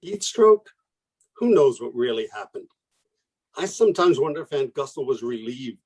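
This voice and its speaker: A man acting in a radio play as a skeptical, idealistic young mathematician, with a soft-edged husky voice. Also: medium pitch, very animated, moderate pace. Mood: wry, gentle.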